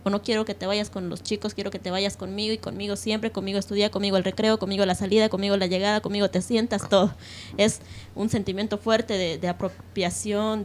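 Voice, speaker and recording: A woman's voice: medium pitch, steady tone, brisk. Woman talking over faint noise. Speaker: panel participant. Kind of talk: informal opinion in a discussion.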